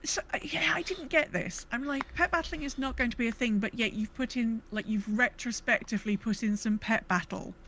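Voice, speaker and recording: A woman's voice: fairly high pitch, a little fast, hardly any pauses, sharp tone. A woman co-hosting a podcast in an informal, chatty way, moving between casual conversation and reading out messages. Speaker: podcast co-host. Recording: clear background noise.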